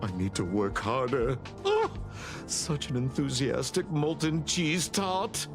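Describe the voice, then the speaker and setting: A man's voice: medium pitch, huge pitch swings, slow, over music, heavily acted. A man voicing a zealous, fawning devotee from a video game in a melodramatic, grandiose read-aloud. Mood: reverent, excited. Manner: melodramatic, grandiose, swooping delivery. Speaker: game streamer.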